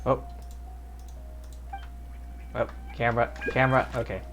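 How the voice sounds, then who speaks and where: A man's voice: mid-range voice, level tone, measured pace, fairly bright tone. A man with a mid-range voice comments live on the game he is streaming. He is casual and offhand, as if talking to himself, with faint game music behind.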